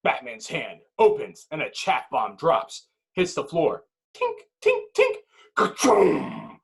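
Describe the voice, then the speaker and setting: A man's voice: on the high side, wildly swooping pitch, slow, gravelly. A man narrating a screenplay's action with intense, clipped dramatic phrasing. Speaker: narrator reading stage directions.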